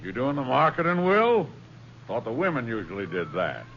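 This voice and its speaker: Taunting, domineering western cattleman, lively pitch. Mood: mocking, smug. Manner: mocking, drawn-out needling. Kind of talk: 1950s radio western acting. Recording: dull, faintly noisy 1950s radio recording.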